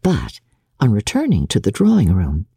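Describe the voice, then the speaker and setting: A woman narrating classic literature as a dramatic reading, in a measured, expressive storytelling manner. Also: extremely low pitch, vocal fry, slightly rough, no echo, clean studio sound. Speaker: audiobook narrator.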